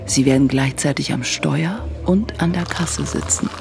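A self-assured female executive with a low, velvety-dark voice. She coaxes and persuades seductively, as in a radio play, over faint music. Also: measured pace, gravelly. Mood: seductive, coaxing. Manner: coaxing, persuasive. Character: seductive, self-assured businesswoman coaxing a younger man. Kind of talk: radio drama acting.

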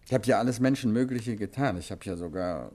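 An actor playing a composed gentleman detective in a 1950s radio play, speaking in a calm, measured and quietly ironic way. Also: on the low side, slight background noise, lightly acted.

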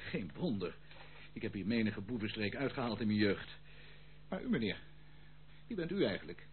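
A man's voice: lowish voice, expressive, moderate pace, natural voice. An actor playing a roguish, secretive male intruder in a radio play, nonchalant, teasing and theatrical. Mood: cagey, nonchalant. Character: roguish, secretive prodigal intruder, jaunty and sly. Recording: tinny old radio recording, faint noise.

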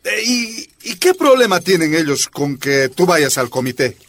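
An actor playing an easygoing, supportive miner husband who speaks colloquially with a Bolivian accent, in a radio drama. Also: male voice, a little high, sing-song, moderate pace, fairly bright tone. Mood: relaxed, reassuring. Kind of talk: Spanish-language radio drama acting.

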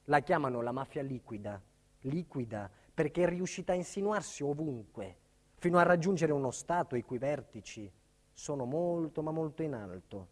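A man's voice: a little high, animated, normal speed. An actor playing an earnest, embattled businessman telling his life in a theatrical monologue, with emphatic, dramatic phrasing. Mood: indignant, grave. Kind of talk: theatrical first-person monologue. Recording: reverberant theatre hall recording.

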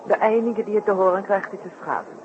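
A woman's voice: medium pitch, fairly even intonation, fast, lightly acted. A woman playing a secretive, wary trapeze artist in a 1960s radio drama, speaking in formal, guarded phrases. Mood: reserved. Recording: muffled, noisy 1960s radio recording.

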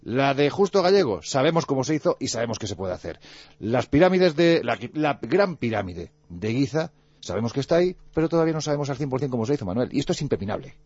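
Male radio talker from Andalusia, animated and jokey, quick-paced, dry lo-fi broadcast sound. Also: mid-range voice, dry recording. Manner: animated, jokey, frequent fillers. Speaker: radio contributor on mysteries. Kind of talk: lively radio chat and storytelling.

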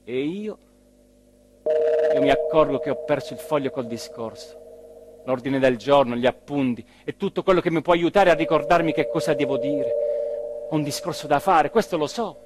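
Through heavy background noise comes an actor's portrayal of a small-town southern Italian mayor with a rather high male voice. He is anxious and self-mocking, delivering a theatrical radio-play monologue that swings from muttering to declaiming. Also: moderate pace, lightly acted. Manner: dramatic, swinging between muttering and loud declaiming. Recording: very noisy radio drama recording with ambience.

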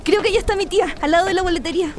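Woman as eager anxious girl in her youth, high pitch, earnest radio drama delivery, noisy background. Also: very fast. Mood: nervous, urgent. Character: anxious, eager young niece arriving with her sweetheart. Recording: noisy outdoor street-like ambience.

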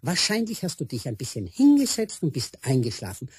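Older tradesman, dark raspy voice, talks down to his pal, skeptical, radio drama. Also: medium pitch, huge pitch swings, fairly quick, full character voice. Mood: amused, doubting. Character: older male mechanic, skeptical, patronizing, amused friend. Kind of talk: radio play acting.

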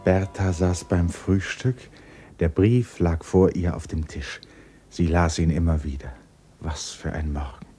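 An actor as a grave, intimate storyteller, narrating a literary radio play in a measured, hushed way. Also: male voice, deep voice, fairly even intonation, breathy edge, faint music behind.

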